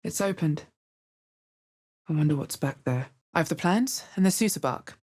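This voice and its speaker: A woman playing a wry, resolute, watchful adventurer heroine in a video game, with a deep, husky voice and a measured, understated delivery. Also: animated, a little mellow. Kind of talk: video game character voice lines.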